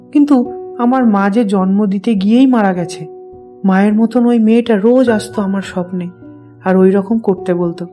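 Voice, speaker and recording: Innocent village child played by a woman, mid-pitched, mellow and unforced, simple earnest storytelling for horror audio drama, light music underneath. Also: lightly acted. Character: innocent young village boy confiding eerie dreams of his dead mother. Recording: music bed behind the voice.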